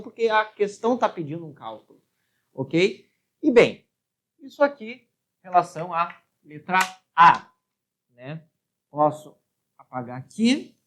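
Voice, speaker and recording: A woman with a Brazilian accent explaining a worked physics exercise step by step as a teacher, often adding short check questions. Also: lowish voice. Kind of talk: step-by-step lesson at a board.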